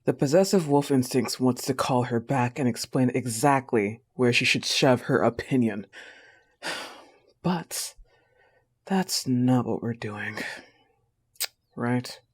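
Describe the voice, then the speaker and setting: A man voicing a possessive but tender young goth wolf girlfriend, soft-spoken, in an intimate audio roleplay. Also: mid-range voice, slow, long pauses, slightly rough. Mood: possessive, irritated, then restrained, firm.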